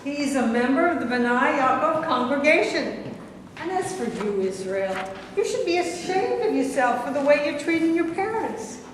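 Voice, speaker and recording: A woman's voice: high voice, animated, normal speed, slight background noise. A woman reading the part of a righteous congregation woman in a staged play, preachy and reproachful. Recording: echoey hall from a distance.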